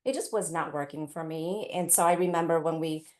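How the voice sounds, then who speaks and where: A woman's voice: low-pitched, fairly quick. A middle-aged woman from Taiwan and California telling personal stories as a parent on a panel. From Taiwan, then California from age eight. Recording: roomy, echoey event space.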